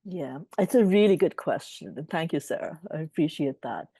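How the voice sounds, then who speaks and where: Middle-aged woman publisher, low and mellow, thoughtful and earnest, dry video-call sound. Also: expressive, normal speed, breathy edge, no echo. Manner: thoughtful, earnest, warmly reflective. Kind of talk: reflective interview answers.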